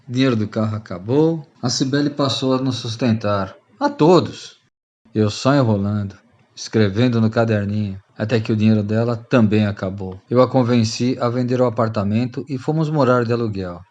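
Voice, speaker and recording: A man's voice: mid-range voice, a little mellow, highs cut off, close to an everyday voice. An actor playing a repentant Brazilian rogue and former freeloader, telling his story to friends in radio drama style. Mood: rueful.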